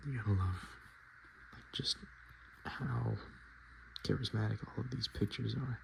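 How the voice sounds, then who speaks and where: A man speaking softly and in whispers, chatting casually as an ASMR host with an unhurried, self-deprecating manner. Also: on the low side, vocal fry, noisy background. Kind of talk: soft-spoken and whispered ASMR, casual chat.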